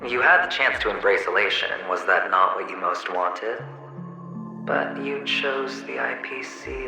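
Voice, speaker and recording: An actor playing a taunting inner double of a young gambler in a video game, theatrical and sneering with drawn-out phrasing, chuckles and an echo effect. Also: male voice, lowish voice, bright, forceful tone, full character voice. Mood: sneering, amused. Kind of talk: dramatic video game acting. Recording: echoing voice under clear music.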